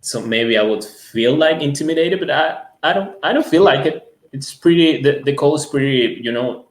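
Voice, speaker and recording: A man from Ecuador, a native Spanish speaker, giving an earnest conversational testimonial in halting phrases as an interview guest. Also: on the high side, lively intonation. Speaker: music school owner, interview guest. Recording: roomy, echoing sound.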